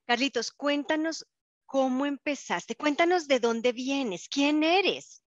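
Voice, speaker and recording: A woman hosting a podcast interview, effusive and admiring, speaking slowly and deliberately. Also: high-pitched, animated, dry recording, highs cut off. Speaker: podcast host.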